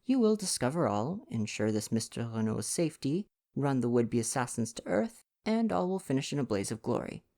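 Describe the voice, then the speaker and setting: Man acting eager storybook gentleman, cheerful, buoyant, emphatic, swooping lively intonation. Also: medium pitch, normal speed, hardly any pauses. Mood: cheerful, confident.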